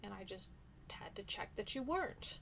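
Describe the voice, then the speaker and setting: A woman's voice: mid-range voice, lively intonation, moderate pace, creaky, a bit bright, slight background noise. A woman in her thirties chatting casually on a livestream, reading out viewer comments in a rambling way. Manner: chatty, rambling, many fillers. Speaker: livestream host and teacher.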